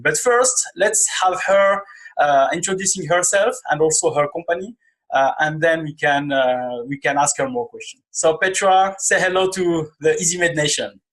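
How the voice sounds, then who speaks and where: Male podcast host, thirties, slightly high voice, hesitant conversational interviewing. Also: a bit bright, poor audio. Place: small indoor room, video call.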